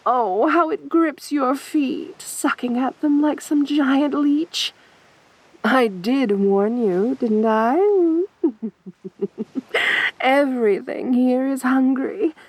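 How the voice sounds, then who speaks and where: Actress as sadistic female siren, ASMR roleplay: breathy, teasing mock sympathy, very close dry sound. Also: high voice, no room sound, heavily acted. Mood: mocking, amused. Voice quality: soft, breathy. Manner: taunting, drawn-out coaxing with mock sympathy.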